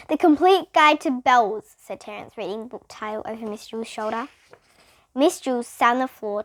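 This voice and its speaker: A female reader giving an expressive, dramatic read-aloud of a children's storybook. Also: a little high, no room sound. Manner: animated, dramatic reading. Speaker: reader of children's bedtime books.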